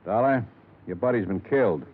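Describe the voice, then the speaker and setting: An actor playing a tough, weary middle-aged small-town police lieutenant in a 1950s radio detective drama, gruff and commanding with clipped questions. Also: male voice, on the low side, fairly quick, natural voice. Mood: brisk, commanding. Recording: 1950s radio broadcast, thin phone-like band.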